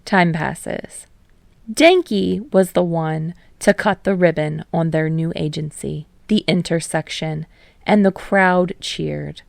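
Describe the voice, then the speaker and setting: Slow, creaky-voiced woman narrating a story. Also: low-pitched, no echo, studio quality. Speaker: podfic narrator and channel host.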